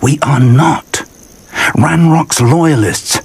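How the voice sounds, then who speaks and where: Measured older British wizard mentor. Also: male voice, on the high side, a little mellow, heavily acted. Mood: wary. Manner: formal, measured, earnest. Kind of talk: dramatic video game dialogue. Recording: clear background noise and ambience.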